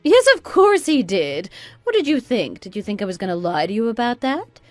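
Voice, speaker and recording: Casting: spiteful mother-in-law, early sixties, played by a woman, swooping pitch, condescending, radio drama, close dry sound over music. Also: big pitch swings, normal speed, no room sound. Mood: smug, taunting. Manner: berating, condescending, dramatic exclamations. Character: spiteful, self-righteous 62-year-old mother-in-law, scolding. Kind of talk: scripted radio drama dialogue.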